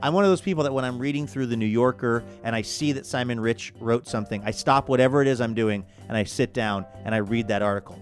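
A man's voice: medium pitch, fast, hardly any pauses, faint music behind. A man hosting a radio show, talking in a conversational, enthusiastic way with wry asides. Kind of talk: upbeat show promo and interview talk.

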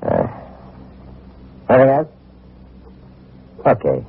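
An actor playing a tough, weary, level-headed gangster with a terse delivery in an old-time radio crime drama. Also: male voice, mid-range voice, wildly swooping pitch, takes it slowly, vocal fry, slightly rough, heavily acted. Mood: curt. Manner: terse, hard-boiled. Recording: tinny old radio recording.

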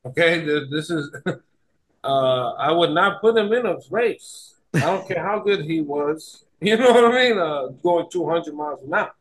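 A man's voice: on the high side, measured pace, low-quality recording. A man in casual podcast banter, talking emphatically with slangy phrasing and repeating himself to make a point. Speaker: podcast guest. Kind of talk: casual banter about movies and games.

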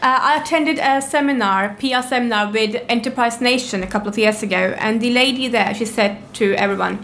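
A woman's voice: fairly high pitch, a little fast, fairly bright tone. A woman from Norway answering interview questions conversationally, in a plain-spoken, encouraging way. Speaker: podcast guest, business owner. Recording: clearly noisy background.

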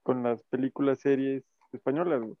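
Mexican co-host on a dull lo-fi mic. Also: male voice, mid-range voice, steady tone, a little fast, no echo. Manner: defensive, slangy, laughs at himself. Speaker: podcast co-host. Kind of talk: casual chat. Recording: dull lo-fi sound.

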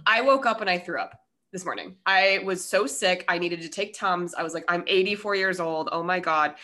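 A woman in her thirties chatting casually as a podcast host, telling things in an animated, unfiltered way. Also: fairly low pitch, moderate pace. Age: 30s. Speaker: podcast host interviewing a guest. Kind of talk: casual chat.

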